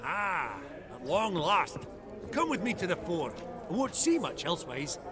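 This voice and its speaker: An actor playing a genteel, teasing wealthy socialite in a video game, chatty and affectedly polite. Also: male voice, high-pitched, sing-song, measured pace, crowd noise. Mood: eager. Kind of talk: video game cutscene acting. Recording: loud music behind the voice.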